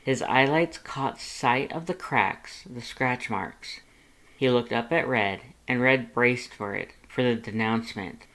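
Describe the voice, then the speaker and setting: An audiobook narrator with a medium-pitched voice reads fan fiction. The delivery is expressive, scripted storytelling. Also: takes it slowly, low-quality recording. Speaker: fanfiction audiobook narrator and channel host.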